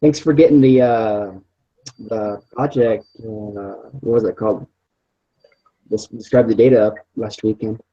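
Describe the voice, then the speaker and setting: A young man in his twenties talks with classmates in an easygoing, halting way, filling gaps with uhs. His voice is mellow and a little husky. It reaches the listener through a dull, lo-fi video call. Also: fairly low pitch, steady tone. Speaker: student in a group project. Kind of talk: casual chat on a video call.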